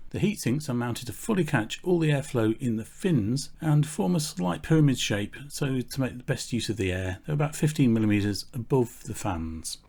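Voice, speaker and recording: A man's voice: mid-range voice, darkish tone. A man in his workshop, casually explaining an electronics build in a technical, matter-of-fact way, thinking aloud with fillers. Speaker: electronics maker and channel host.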